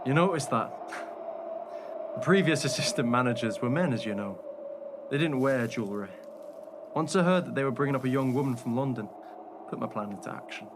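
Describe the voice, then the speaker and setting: An actor playing a calculating, glib hotel manager who is secretly a werewolf, in a radio play. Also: male voice, mid-range voice, lively intonation, brisk, pauses often, lightly acted. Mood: sly, evasive. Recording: noisy recording with loud background ambience.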